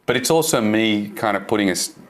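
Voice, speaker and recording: A man from India speaks on a discussion panel with a very deep, low voice. He sounds earnest and thoughtful. He keeps an even, conversational pace. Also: quick pace.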